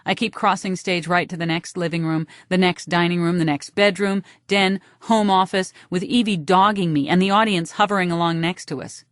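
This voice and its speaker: A woman's voice: fairly low pitch, steady tone, normal speed, hardly any pauses, no echo, clean studio sound. A woman reading a first-person novel as an audiobook narrator, in a dramatic scripted style.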